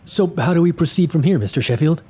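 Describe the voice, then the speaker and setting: A man's voice: a little high, expressive, quick pace, dark tone, slight background noise, natural voice. An earnest husband, played by a man, who speaks in an eager, plain way in this drama. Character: earnest husband wanting to adopt.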